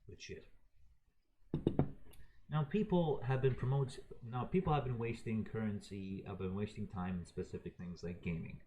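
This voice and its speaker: A man's voice: lowish voice, normal speed, background noise. A man raised in Croatia giving an unscripted, heated and profane opinion rant, talking to his viewers in an exasperated way. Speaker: video ranter.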